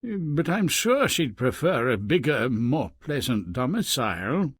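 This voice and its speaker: A man's voice: mid-range voice, sing-song, measured pace, slightly rough, no room sound, studio quality. A man playing a meek, doting fisherman husband, hesitant and apologetic, in a fairy-tale game. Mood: meek, placating.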